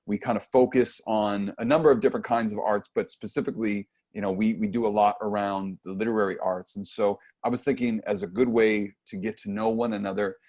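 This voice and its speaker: A man in his forties leading a youth writing workshop over a video call, talking in a relaxed way with lots of fillers. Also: on the low side, a little fast, few pauses. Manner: relaxed and conversational, frequent fillers. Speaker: workshop leader. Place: home room with bookshelves. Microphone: earphone mic on a video call.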